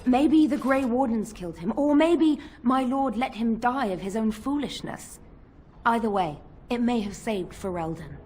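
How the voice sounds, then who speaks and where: A woman's voice: fairly high pitch, lively intonation, moderate pace, slightly rough. A woman playing a stern, loyal knight in a fantasy game, speaking in a formal, defiant way. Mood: firm justifying. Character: stern, loyal female knight defending her lord. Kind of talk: dramatic fantasy game dialogue. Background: loud music.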